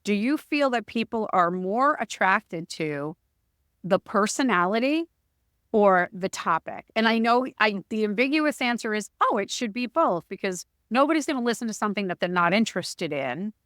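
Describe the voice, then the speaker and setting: A woman co-hosting a podcast, with a loud, booming voice and an energetic, jokey way of talking in casual chat. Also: normal speed, no room sound, studio quality. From Arizona, USA. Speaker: podcast co-host.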